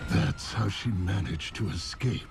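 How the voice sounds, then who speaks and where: A very deep, gravelly killer clown. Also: male voice, background noise. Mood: brooding menace. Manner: slow, menacing, brooding monologue. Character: obsessive murderous male killer clown, brooding and sadistic. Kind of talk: dark video game cutscene narration. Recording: loud music under the voice. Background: loud cinematic game music.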